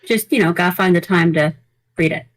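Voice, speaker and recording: A woman's voice: lowish voice, brisk. A woman co-hosting a podcast, running through news items in casual, conversational talk. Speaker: podcast co-host.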